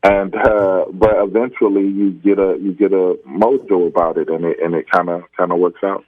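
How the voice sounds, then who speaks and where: Deep-voiced laid-back man, lo-fi podcast. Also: steady tone, normal speed, dry recording. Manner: laid-back, rambling, laughs often. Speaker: stand-up comedian guest. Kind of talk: casual podcast chat.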